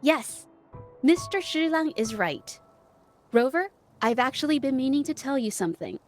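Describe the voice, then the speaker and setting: A woman's voice: sits high, lively intonation, normal speed, faint music behind. An actress voicing a shy, earnest young woman painter in an anime-style game, polite and hesitant with a nervous stammer.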